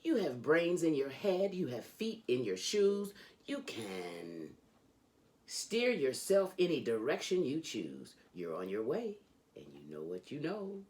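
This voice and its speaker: A woman hosting a children's read-along, reading a storybook aloud in an animated, sing-song storytelling manner. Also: low voice, a little slow.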